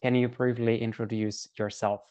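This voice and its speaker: A man hosting an interview, asking questions in a flat, hesitant way with ums. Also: mid-range voice, normal speed, clear, resonant tone, dry recording. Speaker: interview host.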